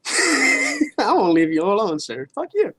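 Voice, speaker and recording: A man's voice: high-pitched, very animated, slow, pushed and bright, slight background noise, low-quality recording. A man co-hosting a casual gaming show, rambling loosely with crude, teasing swearing. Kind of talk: casual chat.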